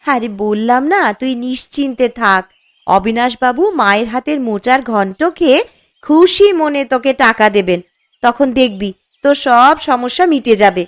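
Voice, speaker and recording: Woman playing a high-voiced, caring village wife. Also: expressive, normal speed, close to an everyday voice. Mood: reassuring. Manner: reassuring, chatty, earnest. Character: caring, sensible young village wife and aunt. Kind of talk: cartoon story dialogue. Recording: music under the voice.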